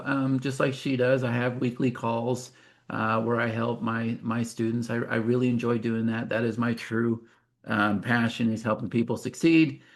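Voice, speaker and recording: A fifty-year-old man presents an online sales webinar in an informal, interactive way. His voice sits at a middling pitch with noticeable creak. He talks straight to the audience and drops in folksy asides.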